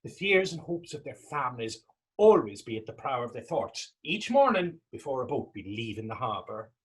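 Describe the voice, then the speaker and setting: A man playing Tommy, a talkative, gentle and wry Irish former fisherman, in staged readers theater dialogue with a folksy, halting storytelling lilt. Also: medium pitch, big pitch swings, slightly rough. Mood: wistful, chatty.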